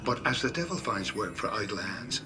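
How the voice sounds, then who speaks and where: Deep priest, lively delivery. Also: male voice, noisy background. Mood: solemn, resolute. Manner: theatrical, measured storytelling. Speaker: storytelling priest. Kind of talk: dramatic video game cutscene narration. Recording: game audio under background music.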